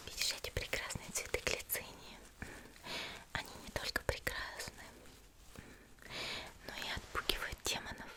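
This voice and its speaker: Asmr roleplay, girl acting as a tiny elegant lady demon slayer, all whispered, soft n reassuring, takes her time. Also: lightly acted. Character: petite, gentle, elegant female demon slayer caring for the wounded.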